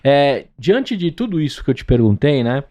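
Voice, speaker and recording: A man's voice: mid-range voice, big pitch swings, moderate pace, mellow. A man from Brazil with a São Paulo accent, a podcast host asking long chatty questions, often ending with a tag question. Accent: São Paulo Portuguese. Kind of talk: conversational interview.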